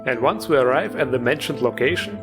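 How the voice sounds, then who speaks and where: A man's voice: mid-range voice, animated, a little fast, over music. A man hosting a video game mod show, giving informal, enthusiastic commentary with a joking streak. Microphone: voice-over recorded over gameplay music.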